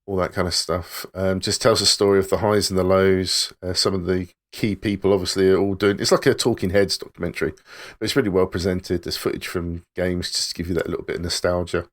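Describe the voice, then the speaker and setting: A man with a fairly low voice chats casually as a podcast co-host. He recommends things with easygoing enthusiasm. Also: little pitch movement, fairly quick.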